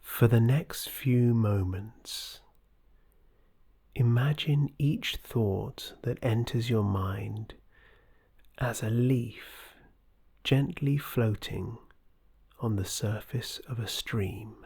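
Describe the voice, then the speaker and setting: A softly spoken meditation guide giving scripted instructions in a soothing, gentle, breathy voice that often drops close to a whisper. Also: medium pitch, drawn-out words, pauses often.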